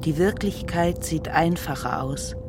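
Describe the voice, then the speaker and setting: A woman narrating a literary radio drama in a solemn, measured and dramatic manner. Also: on the low side, over music.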